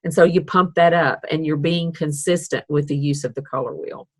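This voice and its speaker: Low-voiced chatty woman art teacher. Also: moderate pace. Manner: chatty, self-deprecating, upbeat. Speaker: art instructor. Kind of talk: online art class teaching. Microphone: video call.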